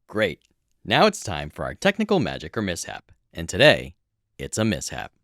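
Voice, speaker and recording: A man with a deep voice talks casually as a podcast co-host. His intonation is lively and varied, and the sound is dry with very little room on it. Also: normal speed, no echo. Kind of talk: casual explanatory podcast talk.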